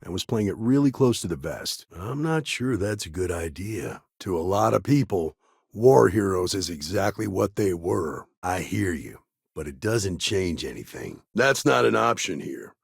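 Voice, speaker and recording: An actor playing a gruff, streetwise frontier lawman in a video game, with an American accent, a gravelly, husky voice and a dry, plain-spoken manner. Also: low-pitched, animated, normal speed, dry recording, heavily acted. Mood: wary, guarded. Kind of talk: video game character dialogue.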